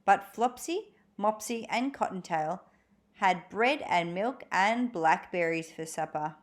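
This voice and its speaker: A woman reading a storybook aloud for children in an expressive, animated way. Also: mid-range voice, slow. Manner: animated, acts out dramatic moments for young listeners.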